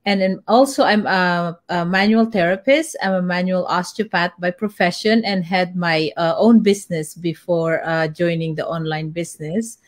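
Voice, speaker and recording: A woman from the Philippines, a guest on a live video talk, chatting in a lively casual way. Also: fairly low pitch, moderate pace, few pauses. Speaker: guest, business owner. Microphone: video call.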